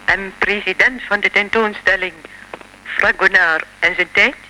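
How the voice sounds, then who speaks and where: An actress playing a composed, mysterious old French lady in a radio play, speaking formally and with measured care. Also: mid-range voice, steady tone, sharp tone, a little hiss or hum, poor audio. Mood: composed, revealing. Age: older.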